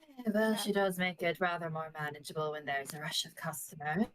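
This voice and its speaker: A woman's voice: low voice, steady tone, brisk, no echo, close to an everyday voice. A woman voicing a composed, blunt tavern barmaid with a dry, polite manner in a tabletop game. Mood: polite, reserved.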